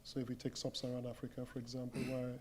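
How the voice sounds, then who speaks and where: A man's voice: mid-range voice, completely flat delivery, very fast, background noise. A man from Cameroon giving opening remarks as a panelist at a conference, speaking emphatically and pushing harder on key points. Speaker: panelist, consultancy managing partner. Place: conference hall.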